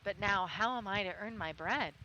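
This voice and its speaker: A woman's voice: medium pitch, normal speed, bright, forceful tone, dull audio, slight background noise, close to an everyday voice. A woman voicing a weary, plaintive old hound in a storybook reading. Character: weary old male hound, too weak to hunt.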